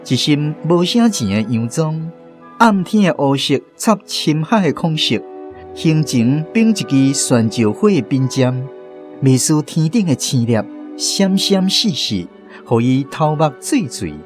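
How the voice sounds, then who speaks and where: A man with a Taiwanese accent narrating a scripted radio drama story in an expressive, literary way. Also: a little high, unhurried. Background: faint music.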